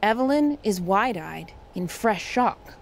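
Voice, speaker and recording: A woman's voice: mid-range voice, animated, slow pace, creaky. A woman host giving scripted dramatic narration of a story.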